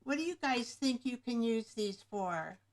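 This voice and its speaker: Woman, craft livestream host, unhurried, slightly high, rambling chat, webcam mic. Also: lively intonation. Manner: rambling, searches for words. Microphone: webcam microphone above the screen.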